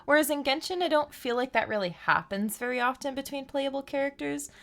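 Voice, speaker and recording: A woman's voice: sits high, animated, a little fast, a bit bright. A woman chatting casually on a live game stream, explaining things in a rambling, chatty way over game music.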